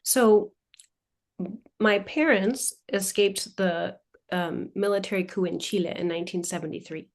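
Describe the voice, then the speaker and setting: A woman in her forties who is a coach and a guest on an interview, telling her family story in a thoughtful, measured way. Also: medium pitch. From United States. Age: 40s.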